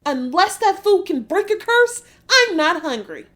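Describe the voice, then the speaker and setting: Shrill young princess, extremely high. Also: male voice, very animated, measured pace, bright, forceful tone, exaggerated voice. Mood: sarcastic. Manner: shrieking, imperious. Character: angry, grieving young princess, shrill and sarcastic. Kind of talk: dark fairy tale audiobook character voice.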